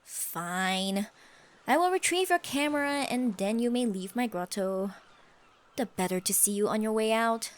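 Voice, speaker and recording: A woman's voice: on the high side, expressive, measured pace, slight background noise. A woman voicing a proud, scoffing tsundere siren with stiffly formal phrasing in an ASMR roleplay. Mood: grudging, imperious. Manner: haughty, scoffing, flustered stammers, archaic formal phrasing. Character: haughty tsundere siren, proud, secretly smitten sea creature.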